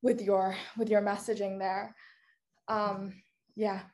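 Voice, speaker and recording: A woman's voice: medium pitch, little pitch movement, slow pace, darkish tone. A woman interviewer chatting casually, using "like" constantly as she shares what she has heard. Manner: casual, full of "like" fillers.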